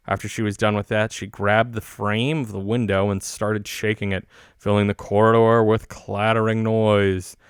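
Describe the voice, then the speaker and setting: A man's voice: fairly low pitch, smooth, dry recording, clean studio sound. A man streaming a game, reading its story aloud in a casual but theatrical storytelling manner. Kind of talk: casual read-aloud narration of a visual novel.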